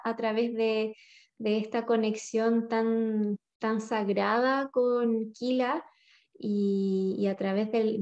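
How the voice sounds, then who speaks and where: Flat, slow-speaking woman hosting a video call. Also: fairly high pitch. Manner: slow, halting, with repeated words. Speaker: interviewer host. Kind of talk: interview conversation. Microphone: video call webcam. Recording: slightly dull video call audio.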